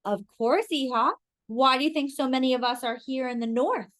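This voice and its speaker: A woman voicing a tender elderly grandmother speaking softly to her granddaughter. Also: sits high, normal speed, no echo, studio quality, pitched above the performer's own voice.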